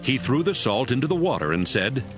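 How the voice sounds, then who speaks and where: A man's voice: medium pitch, very animated, a little fast, faint music behind. A man giving scripted narration for a dramatized audio Bible.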